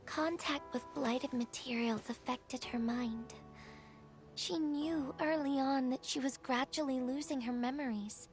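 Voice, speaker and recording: A woman playing a gentle, wistful young courier in a video game, speaking softly and breathily with a tender, reflective manner. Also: sits high, measured pace, faint music behind. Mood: somber, sympathetic. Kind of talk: video game story dialogue.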